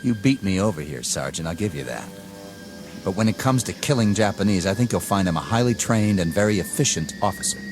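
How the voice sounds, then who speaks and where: An actor playing an arrogant, gung-ho young army lieutenant who barks harsh, contemptuous orders, in a TV drama with a loud score behind him. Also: male voice, low voice, animated, fairly quick, music in the background. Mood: scornful. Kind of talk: 1960s TV drama acting.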